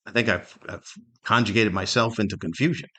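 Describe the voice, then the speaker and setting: A middle-aged man giving a candid, reflective solo podcast monologue in a conversational, thoughtful way, pausing to search for words and repeating himself. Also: on the low side, fairly even intonation, sharp tone. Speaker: podcast host, stand-up comedian.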